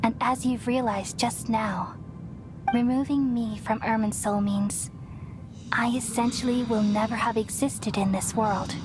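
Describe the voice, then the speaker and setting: A woman voicing a serene, wise and selfless ancient deity in a video game, speaking in a measured, solemn, tender way. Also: on the high side, fairly even intonation, natural voice. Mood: tender resolve. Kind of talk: dramatic video game voice acting, poetic monologue. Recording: prominent music behind voice. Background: music.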